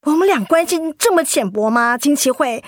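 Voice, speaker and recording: An actress playing an anxious, hot-tempered young office worker in a Mandarin radio drama, blunt and impatient, with a Taiwanese accent. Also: female voice, high voice, animated, brisk, no echo, clean studio sound. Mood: hurt, furious. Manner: blunt, impatient, emphatic questioning.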